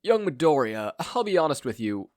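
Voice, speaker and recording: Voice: mid-range voice, big pitch swings, moderate pace, darkish tone, dry recording, clean studio sound, voice pushed up, close to an everyday voice. A performer voicing an earnest, fatherly older male hero mentor who speaks in an emphatic, formal way. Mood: grave, concerned.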